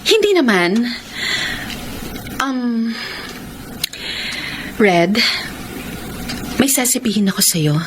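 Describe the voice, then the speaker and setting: A woman's voice: fairly high pitch, sing-song, extremely slow, long pauses, full character voice. An actress playing a bubbly, teasing, outgoing young woman in a romantic radio drama. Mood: tender, hesitant. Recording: noisy radio drama with background ambience.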